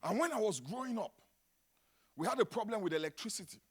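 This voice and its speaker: A middle-aged Nigerian man preaching a sermon, dropping to a hush and then rising to loud exclamations. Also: sits high, expressive, quick pace, breathy edge. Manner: dramatic, swings from hushed to shouting. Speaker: pastor.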